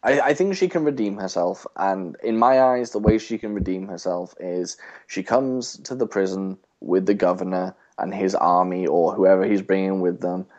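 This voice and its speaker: A man co-hosting a podcast, casually discussing a TV show in a halting, thinking-aloud way. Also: low voice, darkish tone, dull audio. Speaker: podcast co-host.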